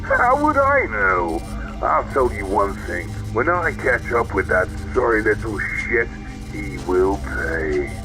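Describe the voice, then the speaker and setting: A man's voice: fairly low pitch, big pitch swings, takes it slowly, over music, poor audio. A man playing a smug, sardonic villain in a sci-fi audio drama, drawling and taunting. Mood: bitter sarcasm. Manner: drawling, taunting, theatrically casual.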